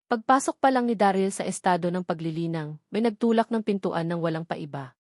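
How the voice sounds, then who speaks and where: A woman's voice: lowish voice, animated, a little fast, a little mellow, dry recording, studio quality. A woman reading a novel aloud as a scripted audiobook narration.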